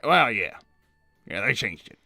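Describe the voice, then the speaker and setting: A man's voice: lowish voice, sing-song, unhurried, sharp tone, no room sound, soft background music, full character voice. A man voicing an old hedgehog uncle in a cartoon interview, hesitant and evasive, stammering and repeating himself as he rambles. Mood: evasive, rambling. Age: older. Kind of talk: improvised in-character interview.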